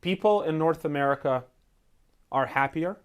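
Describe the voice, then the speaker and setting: A man's voice: on the high side, smooth. A man in his forties, from Ukraine with Russian as his first language, telling his story as a guest in a casual interview and acting out quoted lines. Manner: fast, animated, acts out quotes. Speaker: guest, entrepreneur.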